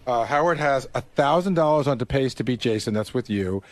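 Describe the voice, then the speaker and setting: A man's voice: medium pitch, expressive, brisk, no echo. A man who is a regular on a radio show, talking casually and arguing his points assertively. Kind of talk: casual studio discussion.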